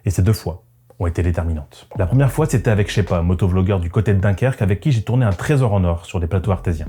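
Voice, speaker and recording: A man's voice: low-pitched, fast talker, mellow, slightly rough. A man giving scripted, first-person narration as a motorcycle vlogger, in a reflective, conversational manner. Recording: music behind the voice. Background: background music.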